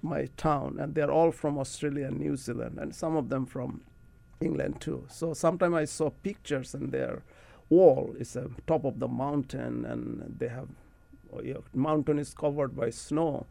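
Gravelly-voiced Bangladeshi man telling stories. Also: medium pitch, fairly even intonation, moderate pace, dark tone, no room sound. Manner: chatty, anecdotal, often adds "you know". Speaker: interview guest, academic researcher. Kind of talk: casual storytelling in an interview.

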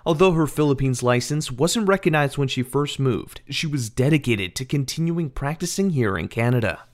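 Calm male radio host. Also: medium pitch, moderate pace. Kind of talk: interview and scripted narration.

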